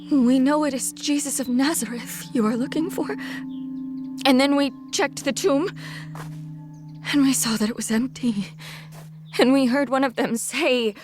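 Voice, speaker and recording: Mary, high voice, hesitant urgent retelling, music beneath. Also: female voice. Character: Mary, shaken female follower. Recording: music score behind.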